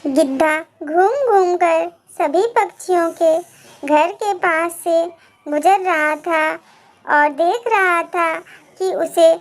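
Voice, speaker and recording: A woman narrating a scripted children's animated moral story. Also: very high voice, takes it slowly.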